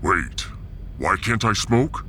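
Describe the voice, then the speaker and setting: A man's voice: low-pitched, very animated, takes it slowly, noisy background, voice pushed down, exaggerated voice. A man voicing a big, proud, solemn alien-world warrior with grandiose, ceremonious speech in a science fiction audiodrama.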